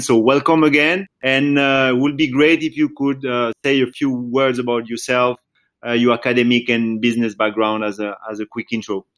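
A man hosting a podcast, introducing his guest and asking questions with many hesitant filler pauses. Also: medium pitch, a bit bright. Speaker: podcast host and investor.

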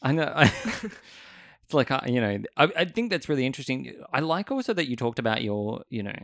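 A man hosting a podcast interview, chatting in a lively way, laughing often and quickly agreeing with his guest. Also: medium pitch, no echo. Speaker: podcast host. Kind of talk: casual interview chat.

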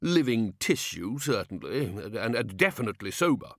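A man's voice: fairly low pitch, big pitch swings, moderate pace, dry recording, clean studio sound. A man voicing a fussy, pedantic male wizard scholar who speaks precisely as if lecturing, in a storybook reading.

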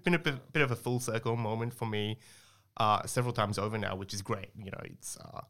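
Young guy from singapore, lowish voice, rambles a bit, lots of ums and you knows. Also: a little fast, fairly bright tone. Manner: chatty, explains at length with many fillers and restarts. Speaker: guest scientist. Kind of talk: casual interview talk.

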